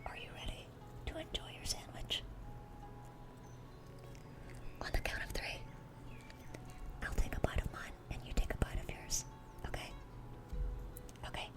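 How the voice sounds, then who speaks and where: A woman's voice: normal speed, pauses often, close to an everyday voice. A woman playing a dorky, affectionate young demon girl in a whispered ASMR roleplay, rambling and repeating herself eagerly. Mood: gushing, affectionate.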